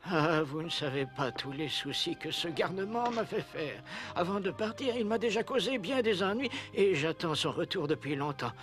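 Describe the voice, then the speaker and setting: A man's voice: fairly high pitch, lively intonation, normal speed, a bit bright. An older man playing an anxious, tender old woodcarver in a cartoon, hesitant and plaintive. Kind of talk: animated cartoon dub acting.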